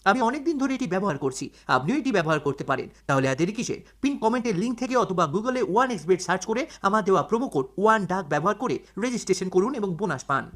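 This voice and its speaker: A woman's voice: medium pitch, expressive, quick pace, hardly any pauses. A woman reading a scripted promotional advertisement in an upbeat, salesy manner. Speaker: app promoter.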